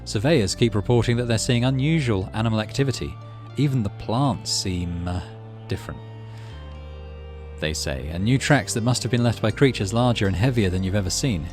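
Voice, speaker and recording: A man hosting a let's play, reading a story game aloud with expressive delivery and muttering asides about his moves. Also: fairly low pitch, normal speed, soft background music.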